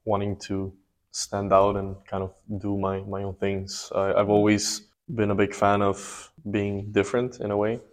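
A man in his twenties, born in Ecuador and raised in Miami, answering interview questions calmly and matter-of-factly. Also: fairly low pitch, measured pace. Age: 20s. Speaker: guest, race car driver.

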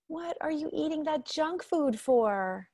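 A caring mother who fusses over her grown daughter , acted by a woman in a radio drama. Her voice sits fairly high with a gravelly creak, recorded dry. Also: animated, slow, a little mellow, no room sound, exaggerated voice. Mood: fussing concern. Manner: fussing, motherly, questioning.

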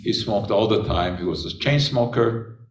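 A man giving an unscripted science talk, unhurried, with frequent pauses and filler words. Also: fairly low pitch. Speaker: chemistry lecturer. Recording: echoey room, voice from a distance.

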